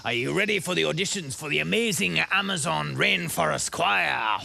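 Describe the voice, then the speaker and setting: A man's voice: fairly high pitch, animated, normal speed, creaky, very noisy. A man voicing a jolly howler monkey who leads a choir, chuckling and singing cheerfully in a children's show. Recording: music bed behind.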